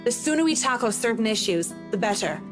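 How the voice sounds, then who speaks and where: Woman acting frank, sardonic working woman, game character, slightly high pitch, faint music behind. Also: animated, fairly quick, lightly acted. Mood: blunt, wry. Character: blunt, wry female worker unafraid of her boss. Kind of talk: visual novel voice acting.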